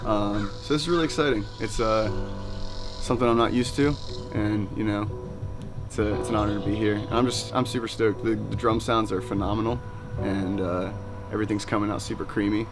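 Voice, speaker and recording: A man chatting casually about a recording session in a studio, relaxed and full of fillers, with music behind him. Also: lowish voice, a little fast. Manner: relaxed, filler-heavy, enthusiastic. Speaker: band vocalist. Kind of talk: casual documentary chat. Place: recording studio live room.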